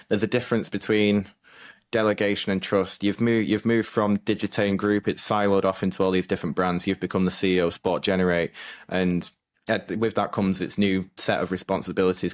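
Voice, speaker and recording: A man hosting a podcast, asking friendly questions with some hesitant restarts. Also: low voice. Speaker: podcast host, interviewer.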